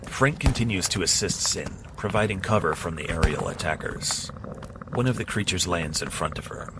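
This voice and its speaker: Deep-voiced male narrator. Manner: steady, measured storytelling. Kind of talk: scripted second-person narration. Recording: clear background noise.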